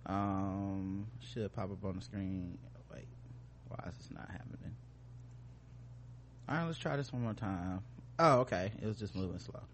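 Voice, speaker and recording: A man's voice: low voice, measured pace, long pauses, slight background noise. A man hosting a podcast with casual comedic commentary, joking in slangy, profane language. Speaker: podcast host.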